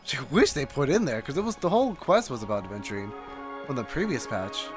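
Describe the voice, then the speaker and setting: A man giving casual, rambling reaction commentary on a video game, with halting asides and exclamations. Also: medium pitch, big pitch swings, music in the background.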